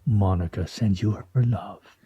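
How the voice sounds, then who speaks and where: A man's voice: lowish voice, unhurried, dark tone, breathy edge, no room sound, dull audio. A man playing a wry, theatrical husband in a comic radio play, speaking in a pompous, mock-formal way. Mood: hushed, conspiratorial. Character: wry, theatrical, teasing husband with grandiose fantasies. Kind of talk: stage radio play comedy acting.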